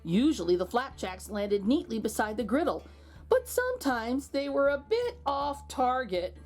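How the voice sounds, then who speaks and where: A woman reading a picture book aloud to children as an expressive storyteller, with exclaimed asides to her young listeners. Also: on the high side, normal speed, soft background music. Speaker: children's read-aloud host.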